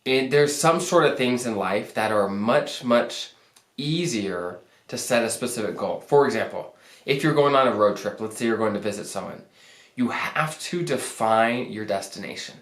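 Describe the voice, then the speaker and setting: A young financial planner hosting his channel in an upbeat, conversational way, his voice deepened by a recent cold. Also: expressive, normal speed, a bit bright. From Arizona, US. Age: young adult. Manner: upbeat, conversational, self-joking. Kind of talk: casual advice talk to camera. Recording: echoey room.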